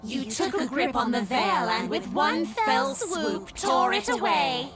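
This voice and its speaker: Accusing female ghost: high, drawn-out, portentous horror-game delivery under loud music. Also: lively intonation. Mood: menacing. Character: ominous, reproachful otherworldly female spirit. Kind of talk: slow dramatic horror game acting.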